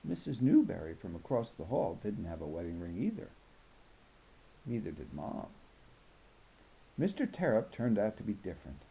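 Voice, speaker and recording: A man reads a children's novel aloud as a storyteller. His voice is very soft-edged and warm, with some gravel in it. The delivery moves up and down expressively from phrase to phrase. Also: on the low side, huge pitch swings, moderate pace, long pauses, rough recording. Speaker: audiobook reader.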